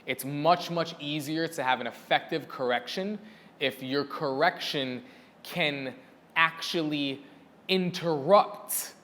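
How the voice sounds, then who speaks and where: A man's voice: on the high side, takes it slowly, a bit bright, in a large hall, a little hiss or hum. A man who trains dogs, answering viewer questions in a casual teaching style and repeating words for emphasis. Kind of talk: casual instructional Q&A.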